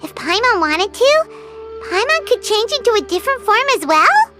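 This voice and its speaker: A tiny, chirpy female companion, whose squeaky voice jumps wildly up and down. She refers to herself in the third person. Loud game music plays under her. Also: sing-song, moderate pace. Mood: eager. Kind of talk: video game voice acting.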